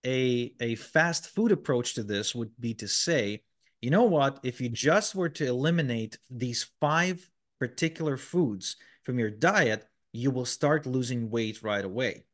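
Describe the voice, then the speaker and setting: A man's voice: mid-range voice, animated, a little slow, fairly bright tone. Man explaining at length, many fillers. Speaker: podcast guest. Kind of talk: conversational interview answers.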